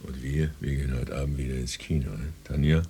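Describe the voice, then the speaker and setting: Gravelly, deep-voiced grumpy husband. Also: male voice, steady tone, fairly quick, dark tone, exaggerated voice. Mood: casual. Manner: blustering, coarse. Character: grumpy, hungry, domineering husband and father. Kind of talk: German radio play acting.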